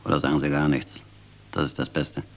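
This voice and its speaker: An actor playing a ruthless intelligence colonel in a German radio drama, brusque, commanding and cynically mocking. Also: male voice, rumbling low, monotone, a little fast. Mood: scornful. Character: ruthless, scornful intelligence colonel, fanatical conspirator.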